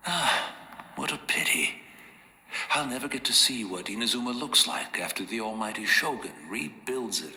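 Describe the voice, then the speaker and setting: An actor playing a frail, courteous old tea master in a video game, speaking formally and deferentially with self-deprecating rambling. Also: male voice, lowish voice, sharp tone, echoey room, a little hiss or hum, heavily acted. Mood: wistful, resigned. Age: older.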